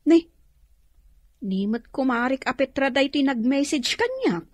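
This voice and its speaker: An actress playing a bossy, chatty village wife with an Ilocano accent, bickering and dismissive in a radio comedy drama. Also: female voice, high-pitched, big pitch swings, measured pace, no echo, clean studio sound, full character voice. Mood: gossipy, scheming.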